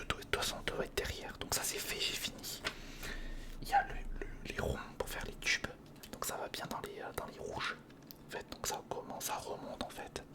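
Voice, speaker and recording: Whispering ASMR creator. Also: medium pitch. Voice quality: breathy, mostly unvoiced whisper. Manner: self-talking, repeats phrases, informal. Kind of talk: whispered ASMR, casual build commentary. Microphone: close studio recorder microphone.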